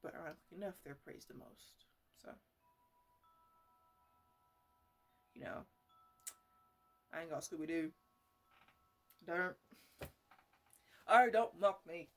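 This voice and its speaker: A woman's voice: lowish voice, lively intonation, normal speed, long pauses. A woman playing an eccentric, chatty botanist in an ASMR roleplay, rambling and stammering as she talks fondly and scoldingly to her plants. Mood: rambling, earnest.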